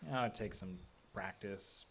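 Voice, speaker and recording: A man's voice: sits low, a little slow, fairly bright tone, a little hiss or hum. A man acting in a live audio drama as a sensible, skeptical lawman with a dry, reassuring way of talking.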